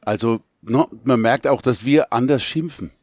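A man's voice: medium pitch, moderate pace, a little mellow, no echo. A man, co-author of a quiz book, explaining things in a wry, casual way. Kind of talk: casual chat.

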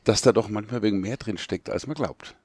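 A man's voice: lowish voice, quick pace, darkish tone, no echo. A man, co-author of a quiz book, explaining things in a wry, casual way. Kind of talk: casual chat.

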